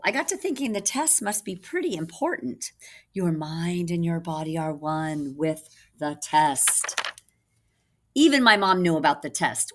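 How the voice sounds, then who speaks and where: Woman, lowish pitch, storybook read-aloud, expressive, teacherly, casual asides explaining things to young listeners. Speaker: reading teacher.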